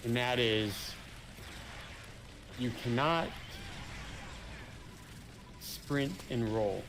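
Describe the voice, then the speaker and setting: Guy talking through a co-op game, mid pitch, noticeable noise behind him. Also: very slow. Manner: thoughtful, explains points with careful qualifiers. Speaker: co-op gaming partner. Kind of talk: casual gameplay chat. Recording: clear background noise.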